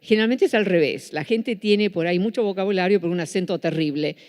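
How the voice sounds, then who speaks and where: Mellow-voiced woman with Buenos Aires accent. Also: on the low side, fast talker. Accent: Buenos Aires Spanish. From Netherlands. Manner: self-correcting, with frequent restarts. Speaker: lecturer. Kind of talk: public lecture. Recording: reverberant hall.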